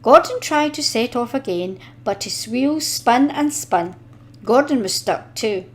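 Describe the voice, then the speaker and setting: Female storybook narrator for young children, higher voice, animated melody, deliberate delivery. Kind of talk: expressive scripted storybook narration.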